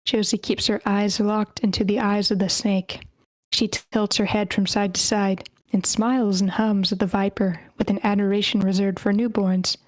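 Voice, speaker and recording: Woman reading screenplay directions in an even tone. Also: mid-range voice, no room sound. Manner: measured, slightly stumbling reading. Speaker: stage directions reader. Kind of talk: screenplay table read narration. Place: online meeting.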